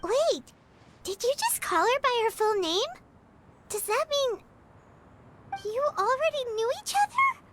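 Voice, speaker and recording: A woman voicing a tiny floating girl companion who is excitable and refers to herself by name. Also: very high-pitched, unhurried, long pauses, background noise, exaggerated voice. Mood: surprised. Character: tiny floating girl companion, chirpy, talks in third person.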